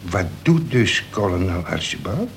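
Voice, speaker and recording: A man's voice: low-pitched, sing-song, measured pace, vocal fry, exaggerated voice. An actor in a radio play, a man playing a crafty, slangy convict with a streetwise way of talking. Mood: sly, musing. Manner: streetwise, colloquial. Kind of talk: Dutch radio play acting. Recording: noisy old radio drama recording.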